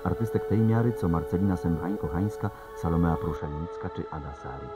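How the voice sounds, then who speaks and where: Deep-voiced man narrating over music. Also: brisk. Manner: formal, listing names and places steadily. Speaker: TV presenter, narrator. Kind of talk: scripted documentary narration.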